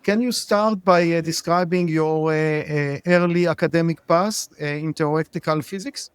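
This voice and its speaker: A man hosting a podcast interview, speaking with halting, hesitant phrasing. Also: a little high, smooth, no room sound. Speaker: podcast host.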